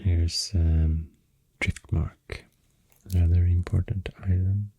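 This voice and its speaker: A man with a very low, dark voice and a Swedish accent speaks softly and breathily, close to a whisper. He rambles slowly and hesitantly in ASMR style, right up on a dry microphone. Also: no echo. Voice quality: soft, breathy, near-whisper. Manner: hesitant, unscripted, unhurried. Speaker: ASMR creator. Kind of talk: soft-spoken ASMR rambling explanation.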